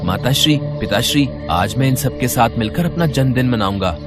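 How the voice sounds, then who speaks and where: A man playing an eager, respectful boy god in an animated devotional story. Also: medium pitch, a little fast, over loud music, close to an everyday voice.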